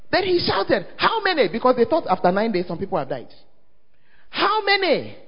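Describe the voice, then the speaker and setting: A Ghanaian man preaches in a very high, lively voice, throwing questions out to his congregation and swooping up and down in pitch. The sound is dull and a little muffled. A long echo from the church hall hangs behind every phrase. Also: moderate pace, gravelly, slightly rough. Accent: Ghanaian English. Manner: call-and-response, often asks the crowd "are you there?". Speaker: pastor. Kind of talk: animated preaching sermon.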